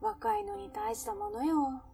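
High, mellow chatty working woman, acted by a woman. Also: big pitch swings, measured pace, gravelly, distant mic, faint music behind, heavily acted. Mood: chatty, breezy. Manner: breezy, curious, self-assured, feminine speech. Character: romance-loving, chatty working woman, a café regular. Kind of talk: Japanese radio drama acting.

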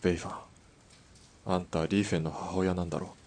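An actor playing a steady, perceptive hired sniper in a radio drama, with blunt, rough masculine speech. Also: male voice, low voice, normal speed, close to an everyday voice. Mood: probing. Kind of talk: Japanese radio drama acting.

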